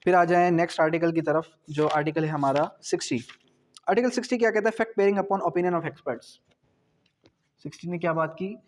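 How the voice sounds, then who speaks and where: A man's voice: fairly high pitch, moderate pace. A man giving an explanatory exam-prep lecture, didactic, asking and answering his own questions and repeating key phrases. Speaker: law lecturer.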